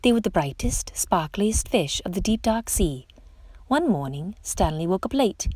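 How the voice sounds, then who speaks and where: A woman reading a picture book aloud to children in an expressive, dramatic storytelling style. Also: mid-range voice, normal speed, breathy edge, dry recording.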